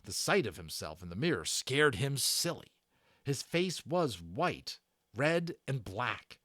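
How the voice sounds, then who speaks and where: A man reads a children's adventure story aloud. His delivery is theatrical and animated, with a medium pitch that swings widely and pauses placed for suspense. The sound is dry, with very little room in it. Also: no room sound. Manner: theatrical, with stressed words and dramatic pauses. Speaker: audiobook narrator and channel host. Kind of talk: dramatic read-aloud storytelling of a children's novel.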